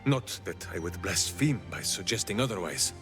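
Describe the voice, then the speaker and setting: An actor voicing a hearty, earnest warrior in a video game, telling tales in rambling, grandiose, old-fashioned phrases. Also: male voice, sits low, animated, moderate pace, fairly bright tone, faint music behind. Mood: earnest musing. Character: hearty, rambling male warrior, earnest storyteller from far land. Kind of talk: dramatic video game dialogue.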